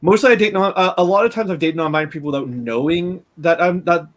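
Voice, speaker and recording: A man in his late thirties, speaking as a panelist in casual conversation, candid and halting with many fillers and restarts. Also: high voice. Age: late 30s.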